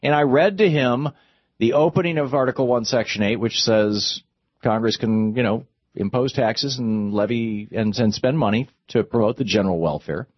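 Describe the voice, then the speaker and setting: A man's voice: mid-range voice, normal speed, no room sound, highs cut off. A man hosting a call-in radio show, talking through legal and political points with many restarts. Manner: discursive, argumentative, stops and restarts mid-sentence. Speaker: radio talk show host.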